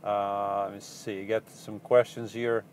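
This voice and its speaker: A man's voice: lowish voice, little pitch movement, slight background noise. Rambling man with a Portuguese accent. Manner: rambling, halting, with many ums and restarts. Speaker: van-life vlogger answering viewer questions. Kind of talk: casual live Q&A chat.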